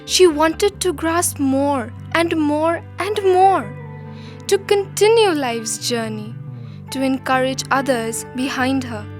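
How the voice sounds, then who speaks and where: A woman narrating a scripted documentary drama in a measured, solemn storytelling manner. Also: sits high, animated, faint music behind.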